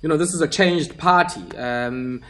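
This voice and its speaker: A man hosting a radio talk show and pressing a guest with questions, steady with frequent fillers. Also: fairly high pitch, normal speed, slight background noise. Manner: probing, steady interviewer with fillers.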